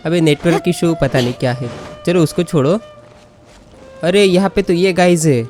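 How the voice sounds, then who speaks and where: Woman gaming host, Indian accent, lowish voice, rapid-fire casual commentary, giggly, game music behind. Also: dark tone. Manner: giggly, informal, reacts to the game.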